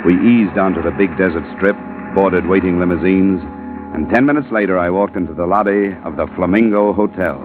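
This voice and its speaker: An actor playing a wry, hard-boiled insurance investigator, clipped and dry, in 1950s radio detective style. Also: male voice, low voice, brisk, natural voice. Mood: wry, easy. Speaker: lead actor and narrator of a radio drama. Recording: narrow-band vintage radio recording, music behind.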